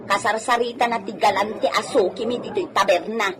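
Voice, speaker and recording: A woman's voice: medium pitch, fairly even intonation, normal speed, crowd noise, natural voice. A woman playing a sassy young tavern seller with an Ilocano accent in a comedy radio drama. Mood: pert. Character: sassy unmarried young woman selling liquor at a village tavern.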